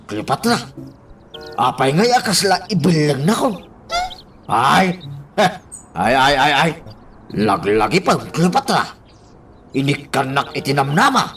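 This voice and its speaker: Goofy, swooping lovestruck suitor. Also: male voice, fairly high pitch, big pitch swings, slow, long pauses, a bit bright, soft background music, full character voice. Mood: lovestruck, clowning. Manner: clownish, exclamatory. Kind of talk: comic Ilocano radio drama acting.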